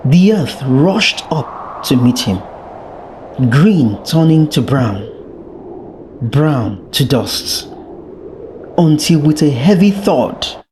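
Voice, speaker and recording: Folktale storyteller, scripted narration, extremely slow pace, deliberate, dramatic, mid-range pitch. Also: sing-song, noisy background.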